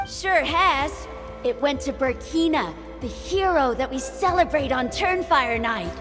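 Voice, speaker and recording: A woman voicing a lively young girl of a tribe in a game, telling a legend in a playful, dramatic way. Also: measured pace, in a large hall, over music. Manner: playful, dramatic storytelling with big pitch swings. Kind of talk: game dialogue voice acting.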